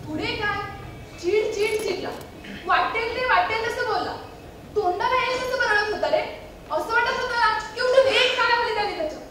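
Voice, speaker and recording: A woman's voice: very high-pitched, normal speed, a bit bright, slight background noise. A woman acting a feisty, candid young woman in an amateur stage play, speaking in a conversational but projected way. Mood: indignant, sheepish. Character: feisty, candid young woman confessing love to a male friend. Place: stage of a one-act play. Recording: very echoey theatre hall, distant mic, music.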